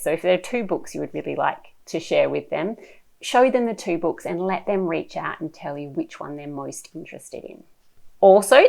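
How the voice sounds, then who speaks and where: Low-pitched, expressive woman presenting. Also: gravelly. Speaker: presenter giving parents reading tips. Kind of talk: instructional talk to camera.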